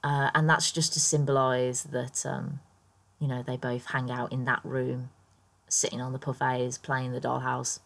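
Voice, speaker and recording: A woman with a croaky morning voice, chatting through her game build in a rambling, casual voiceover full of ums and small laughs. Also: very deep voice, little pitch movement, unhurried. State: croaky, just woken up. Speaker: Sims builder YouTuber.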